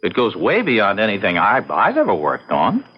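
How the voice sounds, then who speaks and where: Radio drama role: eager young inventor, hugely animated intonation, defensive streak, muffled vintage sound with light noise. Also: male voice, on the low side, moderate pace, slightly rough, heavily acted. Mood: excited, defensive. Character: enthusiastic young man devoted to his computer experiment. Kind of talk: old-time radio drama acting. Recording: dull lo-fi radio recording, faint noise.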